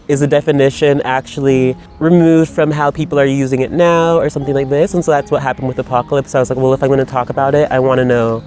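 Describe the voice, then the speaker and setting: A man's voice: mid-range voice, a little mellow. A man speaking in a personal video monologue, rambling and reflective, with many fillers and restarts. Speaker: writer. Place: Berlin.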